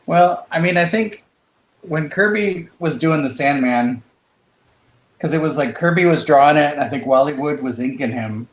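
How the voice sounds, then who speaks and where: A man in casual conversation about comics, explaining things in a tentative, halting way as a panelist. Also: fairly high pitch, reverberant, lo-fi sound. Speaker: panelist on a comics drawing show.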